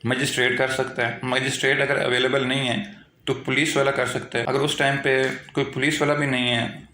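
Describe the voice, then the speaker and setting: A man's voice: mid-range voice, little pitch movement, moderate pace, sharp tone, slight background noise. A man with a Pakistani accent giving an informal explanatory law lecture, repeating himself and mixing English legal terms into his explanations. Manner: repetitive, filler-heavy explaining with English legal terms mixed in. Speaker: law teacher. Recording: echoey, roomy sound.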